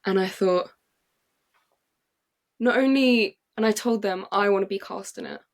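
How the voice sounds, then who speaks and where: A young English woman, a playwright and actress, telling her story in an excited, chatty way with many fillers and restarts. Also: medium pitch, measured pace. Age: young adult. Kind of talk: casual interview storytelling. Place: podcast recording.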